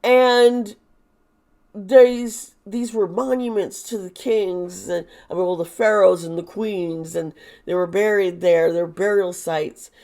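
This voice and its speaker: Hoarse, slow-talking woman. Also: medium pitch, steady tone, a little mellow. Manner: rambling, chatty, sarcastic asides. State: hoarse, losing her voice from illness. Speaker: tarot reader. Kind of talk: casual monologue.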